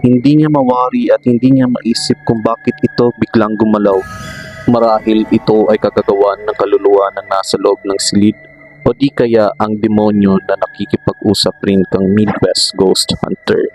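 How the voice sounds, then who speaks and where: Low, even-toned Filipino male narrator. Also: normal speed, soft background music, poor audio. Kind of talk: scripted horror story narration.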